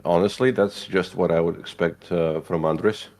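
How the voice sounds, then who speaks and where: A man's voice: sits low, fairly even intonation, normal speed, darkish tone. A man from Latvia giving casual race commentary as the second voice on an esports broadcast. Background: music.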